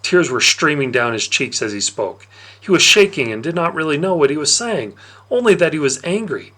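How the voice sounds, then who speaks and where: A man narrating a novel aloud in an expressive storytelling style. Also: a little high, normal speed.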